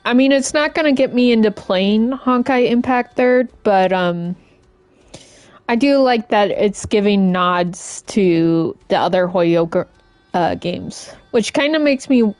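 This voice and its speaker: A woman game streamer chatting casually and informally as she plays, in a halting, rambling way. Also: mid-range voice, darkish tone, dry recording. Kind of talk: casual live-stream chat while playing.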